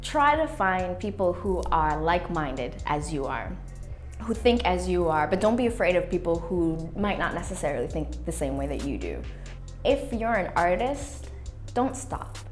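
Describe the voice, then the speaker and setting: A woman's voice: lowish voice, very animated, normal speed. Woman, 20s, documentary interview, earnest, motivational, faint background music. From Canada. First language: English. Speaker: playwright and youth worker.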